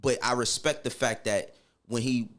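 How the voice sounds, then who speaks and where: A man's voice: mid-range voice, steady tone, moderate pace, a bit bright. A middle-aged man with a New York accent, speaking candidly and casually as an interview guest in slangy, emphatic phrases that often check the listener follows. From Harlem, New York, United States. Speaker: podcast guest.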